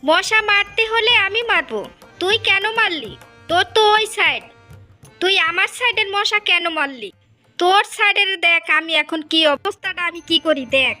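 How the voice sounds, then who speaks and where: A woman's voice: extremely high pitch, lively intonation, moderate pace, pushed and bright, soft background music, heavily acted. A woman voicing a jealous, bickering village wife, insistent and argumentative, in a Bengali fairy tale cartoon. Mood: furious.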